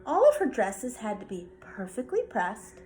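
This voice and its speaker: A woman reading a children's bedtime story aloud with expressive narration. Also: fairly high pitch, moderate pace, a little hiss or hum. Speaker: storybook reader.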